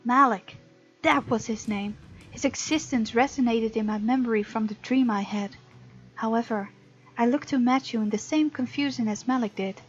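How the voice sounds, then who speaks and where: A woman narrates a visual novel as a gameplay host, expressive and playful, breaking into casual commentary. Her voice sits on the high side. The sound is a little lo-fi, with music underneath. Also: normal speed. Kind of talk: story narration with playful let's play commentary. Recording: dull, lo-fi sound with music behind.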